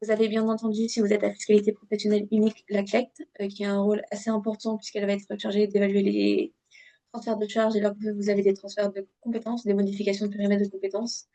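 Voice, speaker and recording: A woman presenting an explanatory webinar in a didactic way, addressing listeners directly, with a halting delivery full of filled pauses. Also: medium pitch, completely flat delivery, warm tone. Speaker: webinar presenter on local government law.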